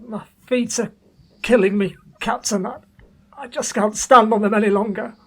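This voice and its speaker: A man playing an exhausted, frostbitten sailor in a radio play, loyal but stubborn, with a strained, pleading, husky voice. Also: high voice, steady tone, normal speed. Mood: pained, desperate.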